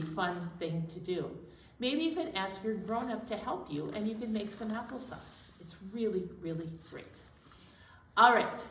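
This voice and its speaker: A woman leading a children's storytime in simple, encouraging language, talking young listeners through hand motions and sing-along songs. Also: medium pitch. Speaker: children's storytime leader at a library. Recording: roomy, distant mic, faint background noise.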